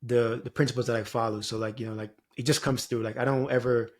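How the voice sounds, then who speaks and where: Guy on a podcast, reflective rambly chat, lots of filler phrases, mid pitched voice. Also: steady tone, fast. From Jamaica. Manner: informal, meandering, frequent "you know" and "like". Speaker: spiritual guide, podcast guest. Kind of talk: reflective interview talk.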